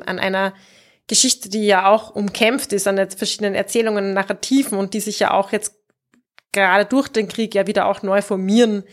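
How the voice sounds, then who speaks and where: A woman discussing books as a host, explaining things in a reflective, informal and conversational way. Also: medium pitch.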